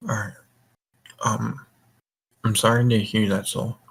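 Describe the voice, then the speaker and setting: A man's voice: on the low side, flat delivery, measured pace, creaky, a bit bright, a little hiss or hum, low-quality recording. A drunk young man hosting a casual group chat, rambling and swearing as he goes. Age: young adult. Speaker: voice call host.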